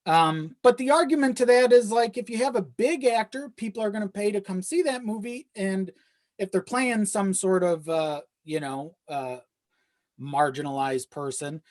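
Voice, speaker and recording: A man's voice: sits high, normal speed, darkish tone. A man speaking as a podcast guest in casual, reflective chat, thinking aloud as he goes. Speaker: podcast guest, audio drama creator.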